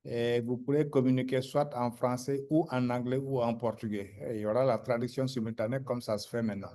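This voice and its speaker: Man in a mid-range voice chairing an online meeting. He explains calmly and warms up for anecdotes. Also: mid-range voice, brisk. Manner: animated when telling anecdotes, otherwise calm and explanatory. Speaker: meeting moderator.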